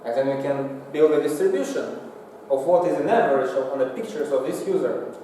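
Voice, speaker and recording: A man's voice: a little high, fairly quick, mellow. Man lecturing in a very echoey hall. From Singapore. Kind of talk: technical lecture with slides. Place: lecture room, indoors. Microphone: far from the mouth, room pickup. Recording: echoey hall, distant mic, faint noise.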